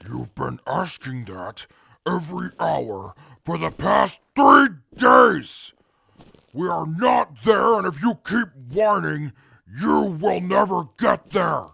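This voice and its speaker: Menacing wasteland fighter, extremely gravelly, harsh forceful edge, slow scornful delivery, audio drama. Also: male voice, high-pitched, animated, no echo, full character voice. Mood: exasperated. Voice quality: raspy, gravelly. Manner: scornful, formal wording. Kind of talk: post-apocalyptic audio drama acting.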